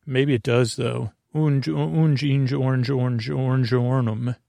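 Creaky middle-aged guy rambling at bedtime, goofy tangents, super dry close mic. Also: medium pitch, steady tone, a little slow, mellow, no echo. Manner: meandering, self-interrupting, goofy asides. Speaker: sleep podcast host.

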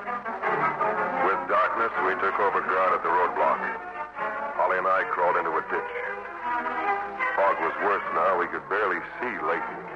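A weary infantryman telling his war story in a 1950s radio play. The voice is low and terse, heard over very loud music on a muffled vintage recording. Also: big pitch swings, moderate pace, pauses often. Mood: somber, reflective. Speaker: narrator. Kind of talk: 1950s radio drama narration.

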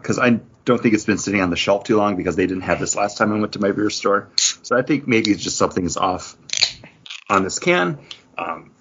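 A man's voice: lowish voice, a bit bright, breathy edge. A man co-hosting a podcast in a casual, conversational way, describing things as he chats. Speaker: podcast co-host. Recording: slightly muffled, lo-fi audio.

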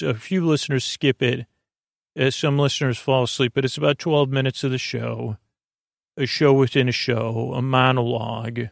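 A low-voiced American man with a creaky, gravelly edge, recorded close and dry. He hosts a bedtime podcast, wandering haltingly from one tangent to the next as he eases listeners toward sleep. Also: no echo. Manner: meandering, halting, goes off on tangents. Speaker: podcast host. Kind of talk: rambling bedtime monologue to help listeners fall asleep.

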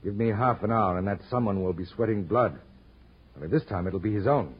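A man's voice: lowish voice, expressive, brisk, husky, soft background music. A man playing a cool, commanding private detective in a 1940s radio drama, clipped, wry and self-assured. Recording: dull old radio recording.

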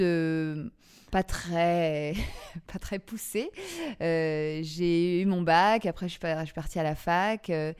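A woman with a Parisian French accent telling her life story casually as an interview guest, often pausing to search for words. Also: lowish voice, a little mellow, smooth. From Paris, France. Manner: hesitant, halting, searching for words.

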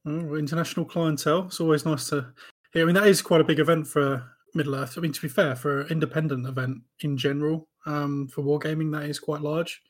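A man with a slightly higher voice hosts a podcast in a relaxed, chatty way. He laughs easily and keeps the talk moving along. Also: fast talker. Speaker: podcast host. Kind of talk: casual podcast chat.

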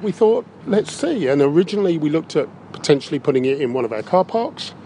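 A man's voice: a little high, very animated, brisk, warm tone. A British man, a council leader, answering interview questions in a plain, matter-of-fact way. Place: inside a circus big top tent. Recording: noisy location recording inside big tent.

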